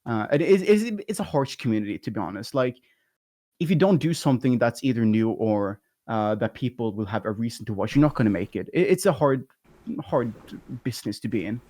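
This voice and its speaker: A man's voice: mid-range voice, animated, brisk, mellow. A man with a light voice, a talkative guest in a casual interview who rambles and laughs often. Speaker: guest, YouTube content creator.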